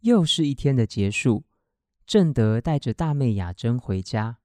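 A man's voice: medium pitch, lively intonation, measured pace, darkish tone. A man with a Taiwanese Mandarin accent reading scripted story narration for a radio drama.

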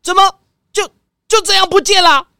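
Lady doing whiny rich guy for kids show, high bright voice, pompous, pleading, taiwanese mandarin. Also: steady tone, normal speed, creaky, no echo, studio quality, full character voice. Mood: panicked. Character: spoiled, whiny rich man, pompous car lover. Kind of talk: children's comic radio drama.

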